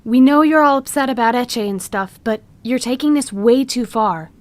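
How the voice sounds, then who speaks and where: High-voiced concerned young friend, played by a woman. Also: normal speed, no room sound, lightly acted. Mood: worried. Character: concerned, questioning young female Zoombini friend. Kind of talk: audio drama character acting.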